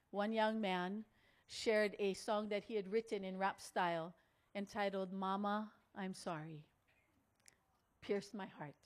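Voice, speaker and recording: A middle-aged or older woman, giving a motivational storytelling speech in an earnest, deliberate way with dramatic pauses. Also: mid-range voice. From Hawaii. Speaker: speaker at a women's conference.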